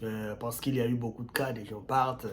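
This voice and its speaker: A man hosting his own channel, giving earnest advice in a casual way, repeating key phrases and jumping up in pitch on stressed words. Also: level tone, fairly quick, slight background noise. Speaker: YouTube channel host.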